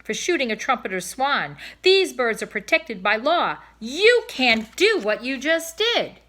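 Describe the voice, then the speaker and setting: A woman's voice: sits high, very animated, measured pace, fairly bright tone, pitched above the performer's own voice, full character voice. A woman reading a storybook, voicing a stern, self-important male game warden with a demanding manner. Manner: demanding, authoritative.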